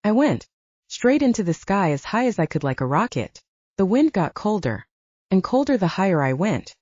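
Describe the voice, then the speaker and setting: A woman's voice: medium pitch, sing-song, moderate pace, a little mellow, dry recording, highs cut off. A woman narrating a fiction audiobook in a dramatic first-person storytelling style.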